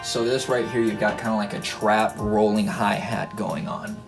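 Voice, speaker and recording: Low, gravelly, even-toned young man. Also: moderate pace, over music. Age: 20s. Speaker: musician and host breaking down own song. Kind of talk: casual explanatory talk. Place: small home studio bedroom indoors.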